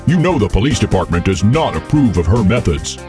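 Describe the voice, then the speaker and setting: A man voicing a serious, dutiful bison police captain in a children's cartoon, with a husky voice and an official manner. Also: lowish voice, sing-song, fairly quick, music in the background. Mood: serious, reporting.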